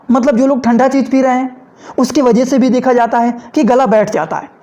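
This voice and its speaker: A man giving a fast, loud video lecture to medical students, repeating points and often checking that listeners have understood. He sounds slightly hoarse. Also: extremely high pitch. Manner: repetitive, often asking "understood?", mixing English terms into Hindi; talks loudly and fast. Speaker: medical educator.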